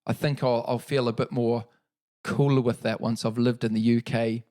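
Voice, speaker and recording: A man in his thirties with a New Zealand accent, talking in a relaxed, reflective way as an interview guest, with many fillers and restarts. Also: mid-range voice, normal speed. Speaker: guest, paralympic cyclist.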